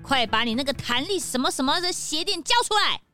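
A woman's voice: sits high, animated, brisk, over music. A woman playing a cocky, brash young detective's sidekick in a children's audio drama. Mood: gloating. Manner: brash, taunting.

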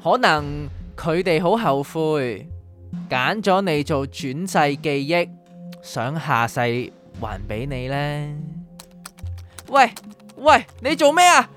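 A woman's voice: sits low, big pitch swings, takes it slowly, exaggerated voice. A woman playing a flippant, philosophizing doctor of the afterlife, speaking Hong Kong Cantonese in a radio drama. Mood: teasing. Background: faint music.

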